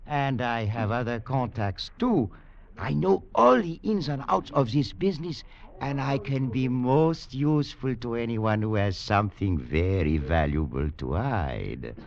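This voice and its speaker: An actor playing a boastful, falsely friendly French legionnaire, unctuous and self-important, with a French accent, in a 1940s radio drama. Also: male voice, medium pitch, lively intonation, a little slow, gravelly. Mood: oily, boastful. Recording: muffled vintage radio recording.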